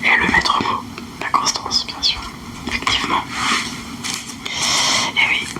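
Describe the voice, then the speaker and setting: Soft whispery lady playing a fortune teller for asmr, kinda blunt, repeats herself. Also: measured pace, rough recording. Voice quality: breathy whisper. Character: unhinged clairvoyant woman taking phone consultations. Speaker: host of an ASMR roleplay.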